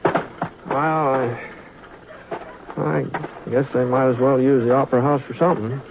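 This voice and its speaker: An actor playing a soft-spoken Texas plainsman gunfighter who narrates his trail and stammers through dialogue in a 1950s radio drama. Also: mid-range voice, steady tone, measured pace, gravelly, background noise. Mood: reflective. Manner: hesitant, stammering, folksy drawl. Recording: tinny old radio broadcast with music.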